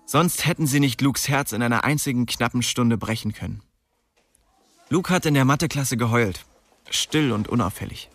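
A man's voice: medium pitch, moderate pace, fairly bright tone, dry recording. An actor playing a young streetwise man who recounts his small-town youth colloquially in a radio drama. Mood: wry storytelling. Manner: colloquial, storytelling. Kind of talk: radio drama first-person narration.

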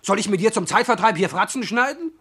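An actor playing a grumpy, mocking middle-aged servant husband in comic classical verse, blustering and sarcastic. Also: male voice, sits high, expressive, quick pace, a bit bright, husky, heavily acted. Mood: irritated. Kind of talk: comic classical verse radio drama acting.

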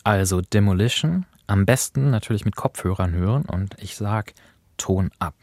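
A man's voice: fairly low pitch, moderate pace, a little mellow, no room sound, studio quality. A man chatting casually as a radio host introducing an audio drama.

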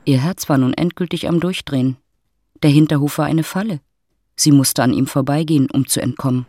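An actor playing the detached storyteller of an urban love story, reading literary narration in a radio play. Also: male voice, a little high, brisk, mellow, no room sound, studio quality, lightly acted. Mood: calm, literary.

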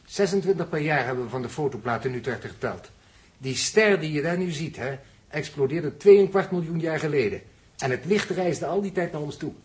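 Actor as precise, knowledgeable spaceship astronomer. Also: male voice, a little high, animated, brisk, close to an everyday voice. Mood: sober, factual. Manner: precise, explanatory. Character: male spaceship astronomer, factual, knowledgeable, good-humoured crewman. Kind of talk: 1960s Dutch radio drama acting.

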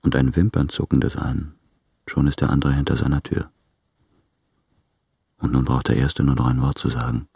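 An actor playing a brooding, self-doubting lover in a radio play, with measured, introspective delivery. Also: male voice, extremely low pitch, flat delivery, gravelly, warm tone, slightly rough, no echo, clean studio sound, heavily acted. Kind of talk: literary German radio play monologue.

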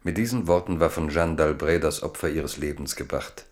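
A man's voice: extremely low pitch, steady tone, moderate pace. A man giving scripted literary narration for a radio drama.

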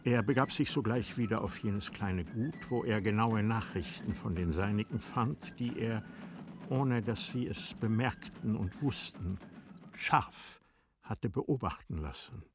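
A man narrating a literary radio play in measured, deliberate phrases. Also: lowish voice, background noise.

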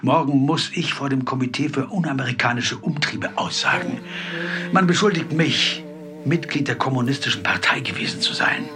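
An actor playing a weary, brooding Hollywood screenwriter under pressure in a radio drama. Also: male voice, mid-range voice, moderate pace, music in the background, lightly acted. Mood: brooding, tense.

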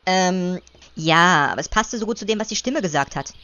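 Woman playing a lively, low-pitched gnome lackey. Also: normal speed. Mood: sheepish, distracted. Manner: hesitant, mock-deferential. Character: servile, dim gnome lackey and royal adviser. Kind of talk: amateur parody audio play.

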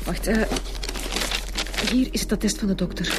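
An actress playing an insistent but hesitant young victim in a radio crime drama. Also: female voice, mid-range voice, normal speed, heavy background noise, close to an everyday voice. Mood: distressed, insistent.

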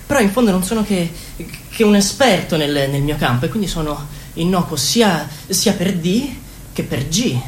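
A woman's voice: on the low side, animated, moderate pace, natural voice. A woman playing an anxious, self-effacing male political expert in a radio drama, hesitant and stammering. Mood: nervous, submissive. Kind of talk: theatrical radio drama monologue. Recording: echoey room with background noise.

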